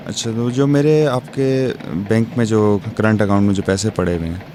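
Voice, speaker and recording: A man's voice: fairly low pitch, normal speed, noisy background, close to an everyday voice. A man playing a curt, wealthy factory owner in a radio drama, formal and businesslike. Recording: music behind the voice.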